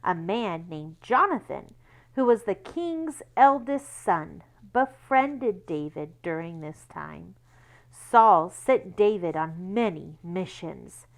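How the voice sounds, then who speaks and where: A woman reading a story aloud to children in a deliberate, explanatory way, pausing to guide young listeners along. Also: lowish voice, lively intonation, creaky. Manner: deliberate, explanatory, spells out words and numbers. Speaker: children's Bible school read-aloud reader. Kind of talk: read-aloud storytelling for children with teaching asides.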